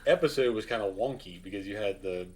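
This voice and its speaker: Guy in his 30s, low voice, talks really fast, just casual chatting. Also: darkish tone. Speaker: reaction show co-host. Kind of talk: casual chat.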